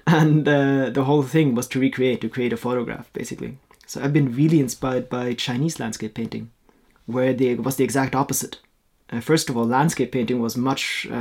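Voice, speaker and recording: Reflective Norwegian painter, hesitant speech. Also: male voice, medium pitch, a little mellow, slightly rough. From Norway. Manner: reflective, hesitant, with frequent restarts and light laughs. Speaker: guest, painter. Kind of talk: casual interview conversation.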